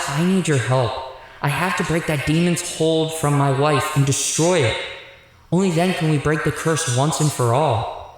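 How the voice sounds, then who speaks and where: A man's voice: a little high, natural voice. A man acting in an audio drama as an earnest, weary demon fighter who explains things patiently. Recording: very echoey, long hall reverb.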